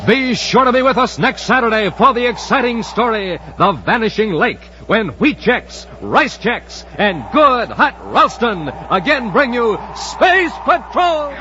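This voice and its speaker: A man announcing in 1950s radio serial style, theatrical and punchy, with an excited salesman's delivery. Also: very high-pitched, a little slow, hardly any pauses. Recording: dull, hissy vintage radio recording.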